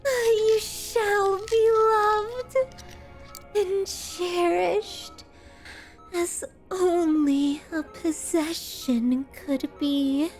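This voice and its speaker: A woman's voice: very high-pitched, extremely slow, darkish tone, heavily acted. A woman playing a doting, sinister, genteel female captor in a theatrical ASMR roleplay, with an ornate, tender, possessive way of speaking. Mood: dreamy, elated. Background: background music.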